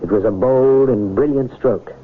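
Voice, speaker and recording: Actor as an earnest reverend on tinny radio. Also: male voice, mid-range voice, normal speed, husky, close to an everyday voice. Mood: probing. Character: earnest, probing, persuasive small-town reverend. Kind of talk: 1980 radio drama acting. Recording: noisy, tinny old radio broadcast recording.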